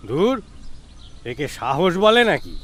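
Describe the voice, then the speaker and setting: An actor playing an indignant old-guard traditionalist in an audio drama, tutting and grumbling with disapproval. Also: male voice, fairly high pitch, big pitch swings, slow pace, fairly bright tone, full character voice. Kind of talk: Bengali audio story drama.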